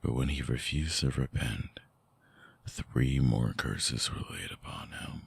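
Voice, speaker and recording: A man playing a lovelorn, seductive vampire ex in an intimate ASMR audio drama, speaking softly and haltingly with a very deep, gravelly, husky voice. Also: dry recording, exaggerated voice. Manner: slow, halting, softly explanatory.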